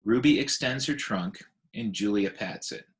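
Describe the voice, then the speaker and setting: Man, low voice, expressive storybook narration, read-aloud. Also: measured pace.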